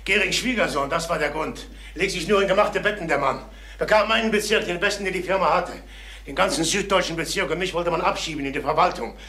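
Halting, defensive middle-aged salesman. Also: high-pitched, sharp tone. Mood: bitter. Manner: halting, repeating himself, defensive. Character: troubled middle-aged travelling salesman hiding his dismissal. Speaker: actor. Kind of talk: German crime radio drama acting. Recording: noisy vintage radio drama recording.

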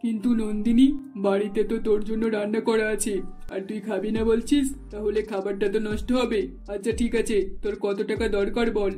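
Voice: medium pitch, level tone, brisk, warm tone, faint music behind, close to an everyday voice. An actor voicing a doting, wealthy mother in a cartoon, talking in a soothing, persuading way. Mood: mildly concerned. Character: indulgent wealthy mother of an only daughter. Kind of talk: cartoon dubbing.

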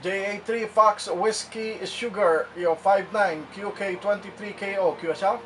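A man's voice: sits high, fairly even intonation, measured pace. A man operating an amateur radio, calling out call signs in clipped, repeated phrases. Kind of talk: amateur radio satellite contact calls. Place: indoor radio room. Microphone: camera mic away from the speaker. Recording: distant mic, loud noise, clicks.

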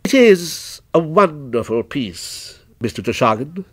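A man as an earnest shop associate, lively pitch. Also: unhurried, husky, dry recording, clean studio sound, heavily acted. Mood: admiring. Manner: formal, emphatic, testimonial. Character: earnest male shop associate vouching for goods.

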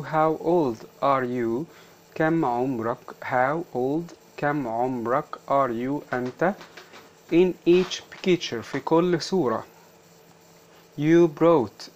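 A teacher with an Egyptian Arabic accent explains a children's workbook, repeating phrases word by word and switching between English and Arabic. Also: medium pitch.

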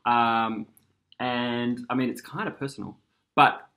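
Aussie guy in his 30s rambling easy, lots of ums, bright tense voice, camera mic across the table. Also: mid-range voice, steady tone, unhurried. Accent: Australian. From Australia. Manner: easygoing, rambling with many fillers. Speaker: musician guest. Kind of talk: casual chat. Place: indoor room by a window.

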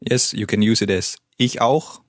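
A native German speaker teaching a language lesson, patiently modelling pronunciation. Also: male voice, on the low side, moderate pace, no echo, studio quality.

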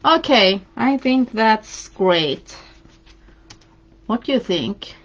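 A woman's voice: fairly high pitch, animated, extremely slow, a bit bright, highs cut off. A woman with a Swedish accent, a Swedish native speaker, giving a live craft tutorial and chatting encouragingly while she paints. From Sweden. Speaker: craft demonstrator. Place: indoors at a craft table.

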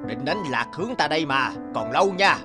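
Man playing a greedy, high-pitched cartoon villager. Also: expressive, brisk, over music, close to an everyday voice. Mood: accusing, scornful. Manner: boastful, exclamatory, scheming. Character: greedy, sneering, bullying rich neighbour man. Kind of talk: animated fairy-tale cartoon acting.

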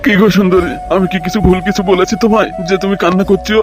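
A man's voice: sits high, steady tone, racing, natural voice. A man voicing a bossy, flirtatious local politician in a comic cartoon, often repeating his words in a gushing way. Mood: anxious, coaxing. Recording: loud music behind the voice.

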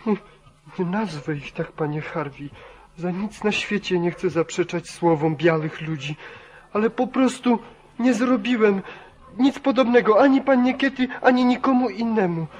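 A frightened farmhand in an old radio play, acted by a man. His voice sits fairly high, humble and pleading, repeating himself with great deference. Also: moderate pace, highs cut off, close to an everyday voice. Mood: desperate pleading. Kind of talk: 1940s Polish radio drama acting.

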